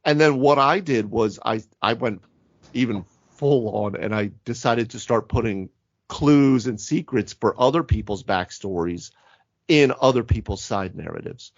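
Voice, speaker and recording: A man's voice: mid-range voice, a little slow, dry recording, lo-fi sound. A man co-hosting a casual panel discussion on a podcast, talking in a rambling, self-interrupting way. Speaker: podcast co-host; physical therapist. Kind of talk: casual panel discussion about tabletop roleplaying games.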